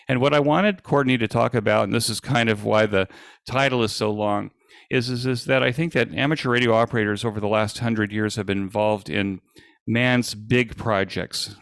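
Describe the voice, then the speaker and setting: A man giving an informal presentation as an event organizer, speaking in a halting, hesitant way with frequent pauses. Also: on the low side, vocal fry, fairly bright tone, smooth, no echo, clean studio sound.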